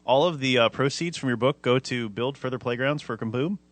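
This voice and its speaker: A man's voice: mid-range voice, a little fast, no echo. A man co-hosting a live radio interview, asking questions in a hesitant way. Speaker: radio co-host.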